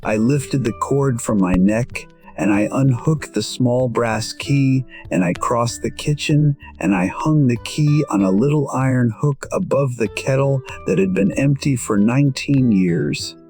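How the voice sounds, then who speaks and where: A gentle man in his sixties, voiced by an actor, narrates his own story in an audio drama. He speaks slowly at a medium pitch, reflective, deliberate and wistful. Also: creaky, soft background music. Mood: reflective, tender. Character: reflective, gentle 62-year-old musician telling his story.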